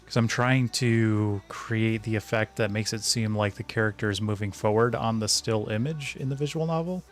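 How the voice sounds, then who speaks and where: Man, lowish voice, casual streamer, hesitant thinking aloud, music playing behind. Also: moderate pace. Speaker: streamer, indie game developer. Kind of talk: casual live stream chat while working. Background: background music.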